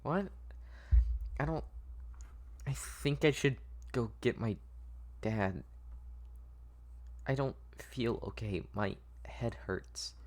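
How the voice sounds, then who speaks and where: A man's voice: medium pitch, lively intonation, takes it slowly, long pauses. A man voicing a small, frightened, naive little girl who speaks hesitantly and stammers, in a story read-through. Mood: confused, uneasy.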